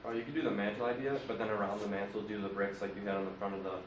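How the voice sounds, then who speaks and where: A young man speaks quickly and casually as a student giving feedback. His lowish voice is distant from the mic, with room echo and noise around it. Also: level tone. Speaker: student in the audience.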